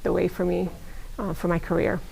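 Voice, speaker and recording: A middle-aged woman from Serbia, whose first language is Serbian, answering interview questions in a measured way with frequent pauses. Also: lowish voice, level tone, vocal fry, warm tone, husky, low-quality recording. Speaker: guest, pharmacist.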